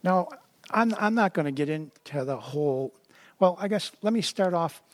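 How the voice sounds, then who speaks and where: High-pitched male preacher, conversational, stumbles into sentences, reads verses slowly. Dry recording. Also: expressive, dry recording. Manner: conversational, repeats words when starting sentences; reads scripture slowly and deliberately. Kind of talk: sermon with scripture reading.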